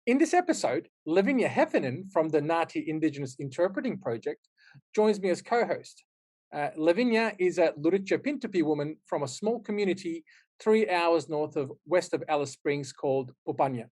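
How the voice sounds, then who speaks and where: A man's voice: a little high, lively intonation, brisk, a little mellow. A man hosting a podcast interview, a native Turkish speaker who talks in English in a chatty way with frequent fillers. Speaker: podcast host. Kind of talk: casual interview chat.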